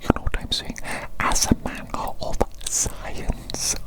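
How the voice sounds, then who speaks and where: Man whispering as a fussy evil doctor. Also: lightly acted. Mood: fussy, coaxing. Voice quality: soft unvoiced whisper. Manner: slow, repetitive, fussy and precise. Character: fussy, pedantic male evil doctor scientist. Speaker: ASMR roleplay creator. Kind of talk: whispered ASMR roleplay.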